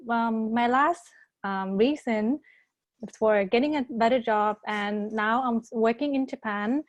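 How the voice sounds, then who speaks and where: Higher-voiced woman laying out points. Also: dry recording. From Thailand. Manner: orderly, listing points with fillers. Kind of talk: webinar panel talk. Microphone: video call.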